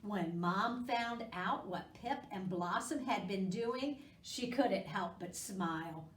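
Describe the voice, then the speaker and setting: A woman's voice: mid-range voice, slow pace, a little hiss or hum. A woman hosting library storytime, reading aloud and chatting expressively and coaxingly to young children. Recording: echoey room, distant microphone.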